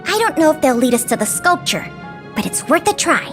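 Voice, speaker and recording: An earnest young female intelligence officer from an anime-style game. The voice is high and animated, with articulate, businesslike phrasing and polite duty in it. Music plays clearly behind. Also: brisk. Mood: explanatory, earnest.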